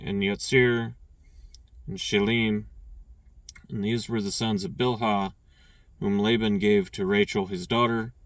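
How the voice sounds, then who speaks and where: A man reading scripture aloud, stumbling and restarting on names and clearing his throat now and then. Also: on the low side, no room sound, recorded from a distance. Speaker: scripture reader.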